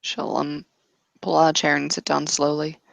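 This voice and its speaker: A woman playing a tabletop roleplaying game, casually narrating and thinking aloud in a halting way. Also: deep voice, monotone, gravelly, no echo.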